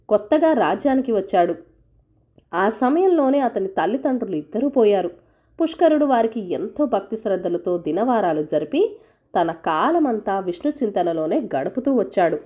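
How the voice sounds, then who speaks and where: A woman's voice: medium pitch, darkish tone, lo-fi sound. A woman narrating a scripted folk tale for children as a storyteller.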